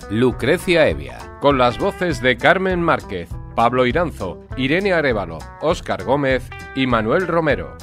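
Lively male credits announcer over guitar music. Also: medium pitch. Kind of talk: scripted credits narration. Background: acoustic guitar music.

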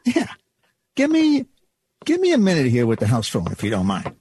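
A man's voice: mid-range voice, wildly swooping pitch, normal speed, a little mellow, a little hiss or hum. An actor playing a kindly, folksy department store doorman in an old-time radio drama, reassuring and a little hesitant. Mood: earnest.

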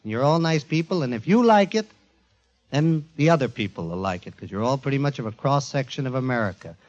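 A man presenting a show to a studio audience in an emphatic, informal, jokey warm-up style, on a 1940s radio recording. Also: medium pitch, animated, a little fast, lo-fi sound. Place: before a live studio audience.

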